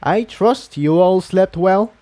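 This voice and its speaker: A man voicing a busy, courteous, absent-minded male pony townsman in a storybook, with a friendly, hurried manner. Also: sits high, mellow, pitched above the performer's own voice. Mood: brisk, friendly.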